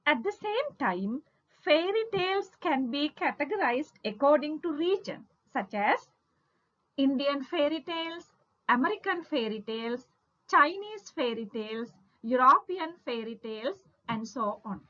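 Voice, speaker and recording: Female lecturer for scripted lesson narration; high voice with lively, swooping intonation, deliberate and instructional, lo-fi sound. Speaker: English literature lecturer. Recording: dull lo-fi audio.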